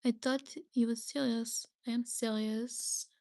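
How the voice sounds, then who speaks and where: Young woman streamer with vocal fry. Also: fairly high pitch, steady tone, unhurried, warm tone. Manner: chatty, thanks viewers often, hesitant with many ums. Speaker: livestreamer. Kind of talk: casual live stream chat, answering viewer comments.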